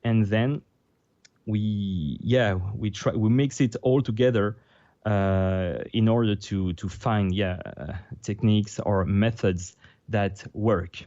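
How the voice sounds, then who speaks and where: Low, mellow Frenchman with a halting delivery. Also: dry recording, muffled recording. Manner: halting, translating on the fly. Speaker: guest. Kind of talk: casual interview over video call. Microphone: video call.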